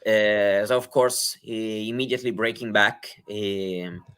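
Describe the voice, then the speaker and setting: Slow, halting man analysing tennis. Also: fairly low pitch, fairly even intonation, fairly bright tone, smooth. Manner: hesitant, many fillers and restarts. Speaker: tennis co-commentator.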